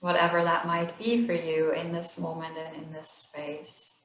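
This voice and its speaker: A woman slowly leading a guided body scan meditation in a gentle, soothing voice with long pauses. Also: on the low side, monotone, reverberant, slight background noise. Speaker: meditation instructor.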